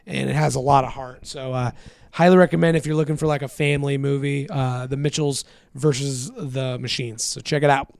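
A hoarse man co-hosting a podcast, chatting casually and eagerly with lots of fillers. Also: mid-range voice, fairly even intonation, a little fast, darkish tone, no echo. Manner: enthusiastic, many fillers. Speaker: podcast co-host. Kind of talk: casual chat.

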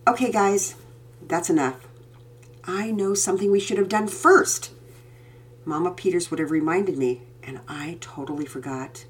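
Woman voicing a gentle comforting father. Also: fairly low pitch, a little slow, breathy edge. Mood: reassuring. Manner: calm, comforting, earnest.

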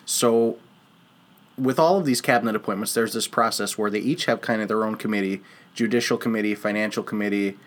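A congested man co-hosting a casual political podcast, chatty and upbeat. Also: fairly low pitch. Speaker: podcast co-host.

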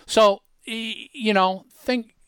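A man's voice: high-pitched, fairly even intonation, a bit bright, clean tone, no room sound, clean studio sound. A man hosting a podcast in a conversational demo style, emphatic and often restarting his words. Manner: emphatic, halting with repeated word starts. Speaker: podcast host.

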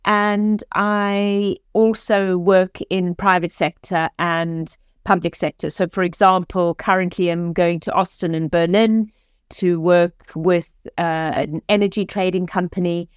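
A middle-aged South African woman with a smooth, resonant voice, answering questions as a podcast guest. She hesitates and restarts often. The sound is dry and a little dull, like a remote call. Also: lowish voice, fairly even intonation, dry recording. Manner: hesitant, halting, with fillers and restarts. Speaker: guest, organisational development consultant. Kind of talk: podcast interview conversation.

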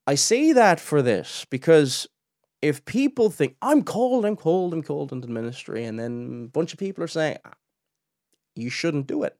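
A man's voice: on the high side, animated, normal speed, a little mellow, no room sound, clean studio sound. A young man from Cork, hosting a podcast and giving chatty commentary with frequent restarts. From Cork, Ireland. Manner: chatty, restarts often, laughs in asides. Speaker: podcast host. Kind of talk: casual commentary.

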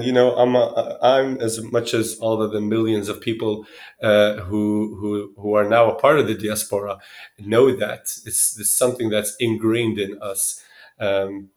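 Man, thirties, low voice, flat intonation, reflective interview guest, hesitant phrasing. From Sweden. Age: 30s.

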